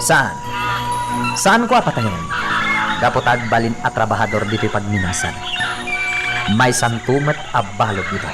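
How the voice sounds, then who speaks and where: An actor playing a cruel, domineering young master who gloats menacingly in a radio drama. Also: male voice, medium pitch, animated, unhurried, pauses often, over loud music, close to an everyday voice. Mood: cold, scheming. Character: cruel young master lording over mine workers.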